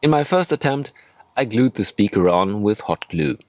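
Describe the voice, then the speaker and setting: A man's voice: lowish voice, animated, normal speed, darkish tone, no echo. A man narrating a hobby tutorial as a voice-over, hosting his own how-to video.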